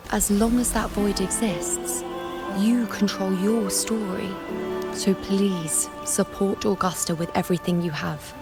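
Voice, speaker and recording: A woman's voice: medium pitch, natural voice. An actress playing a composed, insightful woman giving counsel in a video game. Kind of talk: scripted video game voice acting.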